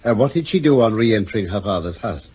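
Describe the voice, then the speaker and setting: An actor playing a shrewd, courteous English gentleman detective in a radio drama, speaking with crisp, formal authority and dry irony. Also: lowish voice, animated, fairly quick. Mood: probing. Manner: crisp, formal, probing, dryly ironic. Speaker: actor in the lead detective role. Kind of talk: 1950s-60s BBC radio drama acting. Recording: muffled, hissy old radio recording.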